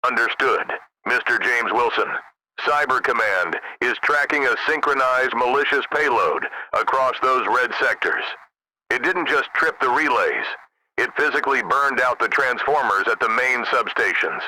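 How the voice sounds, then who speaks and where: A man's voice: mid-range voice, animated, normal speed, bright, forceful tone, poor audio. A man playing an urgent army general in a scripted crisis drama, with insistent, formal military delivery. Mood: urgent, businesslike. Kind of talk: scripted radio drama dialogue.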